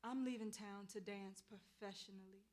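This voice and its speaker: A woman's voice: mid-range voice, fairly even intonation, normal speed, darkish tone, close to an everyday voice. A woman playing an earnest, restless young woman who dreams of dancing, speaking defensively and plainly in a staged play reading. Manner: defensive, hesitant, streetwise plain talk.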